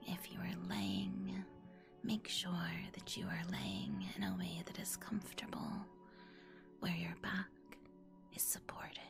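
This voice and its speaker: Slow whispering woman, ASMR meditation host. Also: lowish voice, very animated, gravelly. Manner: soothing, deliberate, instructional. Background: music.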